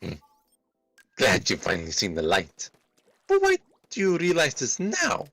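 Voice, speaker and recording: A man's voice: mid-range voice, huge pitch swings, unhurried, a bit bright, no echo, soft background music, heavily acted. A man voicing a laid-back talking cat who coolly prods his friend in an amateur comedy story. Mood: wry.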